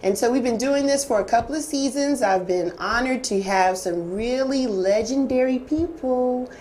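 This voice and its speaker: A woman's voice: a little high, moderate pace, a little mellow, a little hiss or hum. A woman from Detroit hosting a casual interview talk show, upbeat and enthusiastic. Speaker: host.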